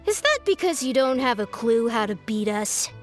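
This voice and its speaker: High-voiced cocky young boy. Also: sing-song, moderate pace. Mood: smug, taunting. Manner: smug, challenging, grandiose. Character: cocky young boy, self-styled beetle fighting grandmaster. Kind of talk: video game character acting. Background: music.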